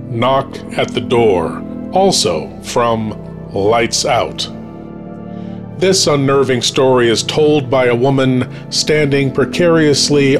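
A man hosting a show, reading scripted countdown narration in a measured, deliberate announcing style. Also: medium pitch. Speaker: podcast host. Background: music.

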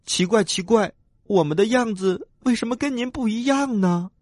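Male narrator as baby tadpole, high pitch, excitable, innocent, pleading, children's story. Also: moderate pace, close to an everyday voice. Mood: puzzled. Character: eager, innocent little tadpole children searching for mother.

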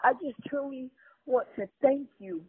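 A woman's voice: sits high, a little slow, husky. A woman crying as she leaves a grateful thank-you message, speaking in a tearful, heartfelt way. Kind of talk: emotional recorded voice message. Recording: tinny phone-line recording.

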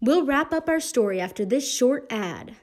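A woman's voice: high-pitched, animated, normal speed, darkish tone. A young woman reading scripted announcements and ad copy for an amateur radio drama. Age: teenager. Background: music.

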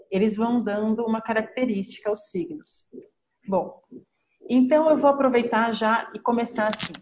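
A woman's voice: mid-range voice, dull audio, a little hiss or hum. A woman with a Brazilian Portuguese accent, an astrologer hosting a podcast, explaining her subject step by step in a teaching manner. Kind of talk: podcast lesson.

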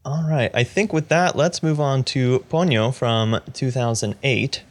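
A man's voice: medium pitch, measured pace, background noise. Conversational male podcast host. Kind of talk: casual film discussion.

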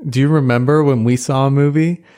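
A man co-hosting a casual chat, easygoing and agreeable, often answering with a relaxed yeah. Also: mid-range voice, a little fast. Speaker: podcast co-host.

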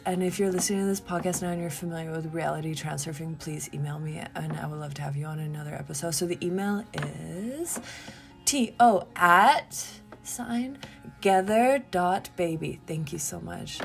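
A woman hosting a solo podcast in a casual, confessional way, rambling candidly and giggly, often trailing off into breathy murmurs. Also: deep voice, little pitch movement, fast talker, hardly any pauses, a little mellow, soft background music. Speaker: podcast host.

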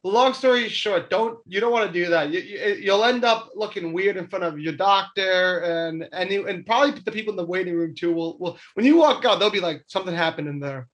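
A man's voice: high-pitched, a little fast, few pauses, fairly bright tone. A young man co-hosting a podcast, rambling and stammering through casual comedic chat with frequent laughs. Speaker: podcast co-host.

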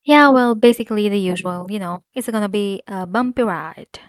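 A woman's voice: mid-range voice, moderate pace, noisy background. A woman hosting a casual solo podcast, chatting animatedly about a book with frequent fillers and asides. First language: not Korean. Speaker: podcast host.

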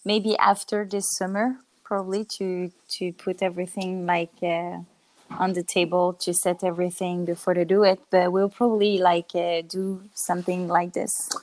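A woman's voice: on the low side, little pitch movement, moderate pace, darkish tone, no room sound, poor audio. A woman from France, a dance teacher, explaining things steadily in a casual interview over a video call.

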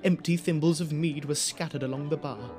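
A man's voice: on the high side, steady tone, a little fast, over music. A man giving scripted storytelling narration for an audio drama.

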